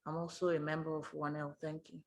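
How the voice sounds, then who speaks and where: Low-voiced Nigerian woman. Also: little pitch movement, a little fast. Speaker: academic researcher. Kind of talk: meeting self-introduction.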